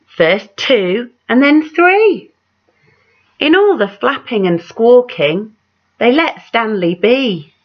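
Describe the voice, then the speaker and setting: A woman reading a children's picture book aloud like a teacher, dramatic and measured, putting questions to her young listeners. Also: mid-range voice. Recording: dull, lo-fi sound.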